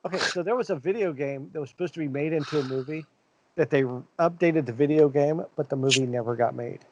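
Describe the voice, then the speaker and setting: A man's voice: on the high side, quick pace, background noise. A man co-hosting a casual podcast, chatting and telling long, loose stories. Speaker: podcast co-host. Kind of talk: casual chat. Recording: dull lo-fi mic.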